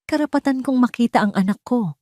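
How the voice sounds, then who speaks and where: A woman's voice: on the high side, fairly quick, dark tone, voice pushed up, close to an everyday voice. A resentful, wealthy man in a romantic audio drama, as a woman narrator puts him on. He pushes every line with bitter, dramatic insistence. The sound is dry and close, free of room echo. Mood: pleading, insistent. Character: desperate, resentful wealthy man, a spurned father.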